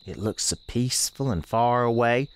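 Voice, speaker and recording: A man narrating a radio play as a gentle country storekeeper, with folksy, colloquial phrasing. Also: mid-range voice, moderate pace, clear, resonant tone, low-quality recording. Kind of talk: first-person storytelling in a radio play.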